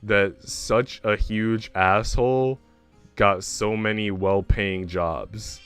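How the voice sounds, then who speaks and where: Low, clean-voiced young American man streaming. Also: fairly even intonation, takes it slowly, fairly bright tone, clean tone. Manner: informal slangy banter with frequent swearing. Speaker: livestreamer and host. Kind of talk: casual livestream chat with viewers. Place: indoor room at home. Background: music.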